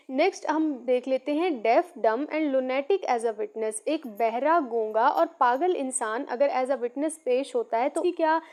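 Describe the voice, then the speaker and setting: A woman's voice: high voice, a little mellow. A Pakistani woman, a native Urdu speaker, teaching law by reading out points and explaining them repetitively. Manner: repetitive, code-switches between Urdu and English. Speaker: law lecturer.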